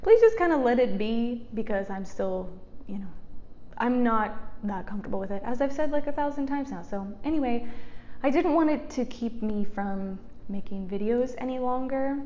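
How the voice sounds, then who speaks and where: A young woman chatting to her viewers while getting ready, rambling and laughing often, with a soft, resonant voice. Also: fairly high pitch, lively intonation, normal speed, dull audio, slight background noise. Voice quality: soft resonant speaking voice after speech therapy. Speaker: YouTuber. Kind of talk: chatty get-ready-with-me vlog. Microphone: desk mic in front of her.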